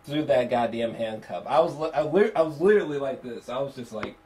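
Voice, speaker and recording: A man's voice: medium pitch, a little fast. Guy doing a casual movie review, mid voice, swears a lot, really emphatic. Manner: animated, profane, emphatic. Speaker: film reviewer.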